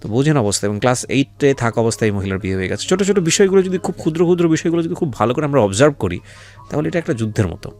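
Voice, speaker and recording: A man's voice: mid-range voice, very animated, racing, darkish tone. A man hosting a life-story interview, reflecting to his listeners in a mix of Bangla and English words. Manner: speaks to listeners directly, mixes in English words. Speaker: radio-style host and interviewer. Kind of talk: reflective commentary to the audience.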